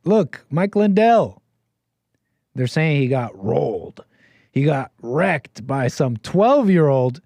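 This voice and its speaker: A man's voice: medium pitch, sing-song, slow pace, darkish tone, no room sound. An American man hosting a call-in show, rambling casually with lots of restarts and laughs.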